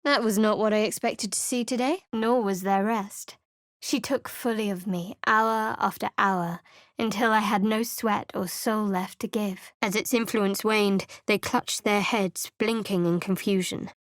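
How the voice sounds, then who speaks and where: A woman performs a guarded young cleric from a fantasy video game. She speaks in a measured, clipped voice at a middle pitch, with sardonic dryness. The sound is close and studio-dry. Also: steady tone, no room sound, lightly acted. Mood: measured, storytelling.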